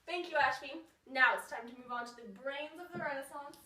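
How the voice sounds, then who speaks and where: A woman's voice: high voice, a little fast, bright, forceful tone. A woman anchoring a mock news skit in a chatty, stumbling way. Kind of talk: acting out a mock news show.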